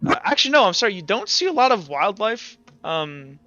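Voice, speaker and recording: A man runs a tabletop game with casual narration. His voice is fairly high, bright and crisp. The recording is a little dull and lo-fi. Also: big pitch swings, a little fast, dry recording. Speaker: game master.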